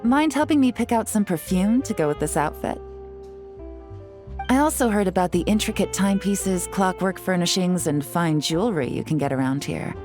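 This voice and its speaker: A woman's voice: fairly low pitch, expressive, moderate pace, darkish tone, faint music behind, close to an everyday voice. An actress voicing a composed, lightly teasing woman agent in a game cutscene. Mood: relaxed. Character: poised cool woman agent on vacation.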